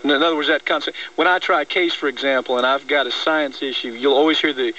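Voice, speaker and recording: A man's voice: medium pitch, fast, bright, forceful tone, a little hiss or hum. An American man talking opinionated politics on the radio in a blunt, exasperated, emphatic way. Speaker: talk radio host and trial lawyer. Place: radio broadcast. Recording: dull lo-fi radio, distant.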